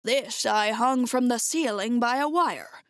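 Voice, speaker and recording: A woman's voice: on the high side, a little slow, a bit bright, dry recording, studio quality. A woman voicing a timid little old man, a humbug wizard, in a storybook reading, meek and pleading. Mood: confiding.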